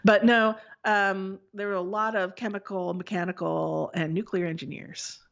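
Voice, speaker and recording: A woman from the Texas Panhandle co-hosting a casual chat, blunt and quick with asides. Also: mid-range voice, fairly even intonation, creaky, a bit bright, muffled recording. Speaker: podcast co-host.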